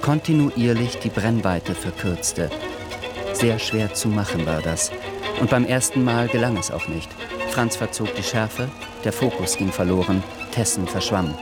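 A man narrating a radio drama in a calm, measured way. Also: on the low side, loud music behind the voice.

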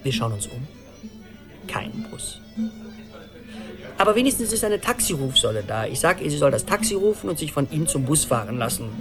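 An actor in a radio play voicing an anxious middle-aged man who tells his story in an urgent, animated, rambling conversational monologue. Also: a little high, brisk, pauses often, over music. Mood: animated recounting. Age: 40s.

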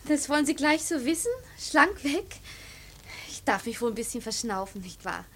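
High-voiced, coy young visitor. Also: female voice, sing-song, normal speed, fairly bright tone, a little hiss or hum. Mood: indignant, coy. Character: chatty, coy, nervous young woman visitor. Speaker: radio drama actor. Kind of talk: German radio play acting.

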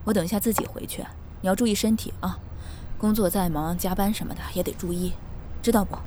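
A woman's voice: medium pitch, steady tone, quick pace, warm tone, a little hiss or hum, lightly acted. A woman playing a bubbly, boastful older mother in a drama, chatty, playful and nosy. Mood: fussing. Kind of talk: naturalistic drama dialogue. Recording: music behind the voice.

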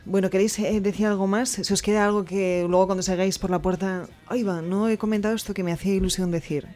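A woman hosting a local radio show, chatting warmly and asking children lots of follow-up questions. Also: mid-range voice, steady tone, quick pace. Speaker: radio host interviewing schoolchildren. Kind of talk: friendly radio interview.

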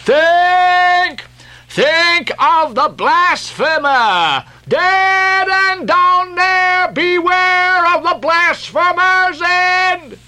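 A man voicing a fanatical sailor prophet in an audiobook, shrieking drawn-out, vehement warnings of doom. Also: very high voice, expressive, sharp tone, voice pushed up, heavily acted. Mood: frenzied warning. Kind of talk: dramatic audiobook character voice.

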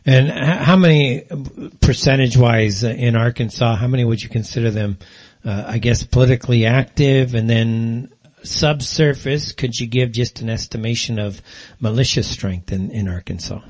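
A man hosting a political talk radio interview, putting wordy, halting questions to a guest. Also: mid-range voice, a little mellow. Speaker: radio show host, interviewer.

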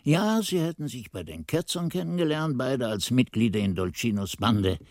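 An actor playing an earnest, reflective monk who tells his story in expressive, deliberate literary narration for a radio drama. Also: mid-range voice, no room sound, clean studio sound. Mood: earnest recounting.

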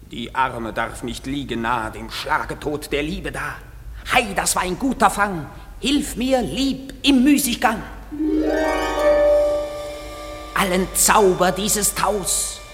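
A man playing a mischievous sprite servant in a classic German radio play, speaking theatrical rhymed verse. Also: sits high. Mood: gleeful, conjuring. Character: mischievous male sprite servant of the fairy king. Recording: long hall reverb, loud music behind.

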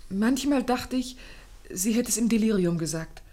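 A woman's voice: on the high side, lively intonation, normal speed, dark tone. An actress playing an anxious, well-bred young lady in a German radio play, speaking earnestly and formally with careful articulation. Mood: earnest, troubled.

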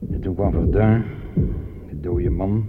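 A man playing a war-weary, plain-spoken army sergeant who talks haltingly in a Dutch radio play. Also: sits low, fairly even intonation. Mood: weary, reminiscing. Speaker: actor. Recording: dull old radio recording with hum, clicks.

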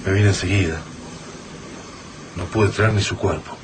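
A man's voice: low voice, steady tone, measured pace. An actor with a Rioplatense Argentine accent playing a frightened male survivor in a radio drama, speaking tensely and earnestly. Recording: noisy radio-drama recording.

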